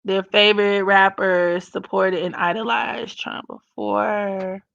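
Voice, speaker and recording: A young woman with a naturally raspy voice talks loosely to her live stream viewers, blunt and profane, often laughing. Her tone is bright and forceful. The audio is dry with no room sound and slightly dull, like a lo-fi home stream. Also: medium pitch, flat delivery, takes it slowly, dry recording. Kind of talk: casual live stream chat reading comments.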